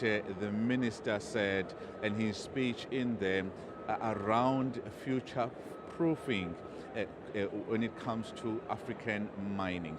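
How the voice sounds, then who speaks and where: A male business reporter interviewing a guest live, halting often with many fillers. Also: on the low side. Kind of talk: live outdoor news interview. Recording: street noise behind.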